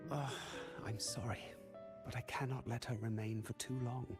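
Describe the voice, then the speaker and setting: An actor playing a grandiose, persuasive mastermind in a game, with a deliberate, oratorical delivery. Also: male voice, medium pitch, fairly even intonation, close to an everyday voice. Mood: polite, firm. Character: grandiose, persuasive male mastermind urging someone onward. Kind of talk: dramatic game voice acting. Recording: voice under prominent string music. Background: violin music.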